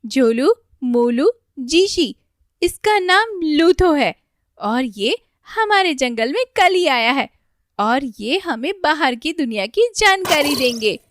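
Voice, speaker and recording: A woman's voice: sits high, sing-song, slow, a bit bright, no echo, studio quality, pitched above the performer's own voice, full character voice. A woman voicing a kindly elderly grandfather tree who chides and explains patiently in a children's cartoon. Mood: firm, explaining. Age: older. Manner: exclaiming, admonishing, patient explaining. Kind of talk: Hindi children's cartoon dialogue.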